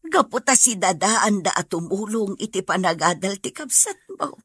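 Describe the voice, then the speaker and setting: A woman's voice: mid-range voice, animated, moderate pace, fairly bright tone, husky, no echo, clean studio sound. A woman playing a humble, pious mother in an Ilocano radio drama, earnest and imploring. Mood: pleading, grateful.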